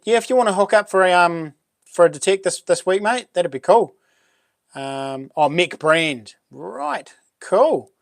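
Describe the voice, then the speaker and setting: Kiwi bloke hosting a live chat, boomy voice, lots of ums, kinda high pitched, dry close mic. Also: male voice, no echo. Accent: New Zealand. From New Zealand. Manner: relaxed, rambling, many ums and restarts. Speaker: livestream host. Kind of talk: casual live chat reading comments.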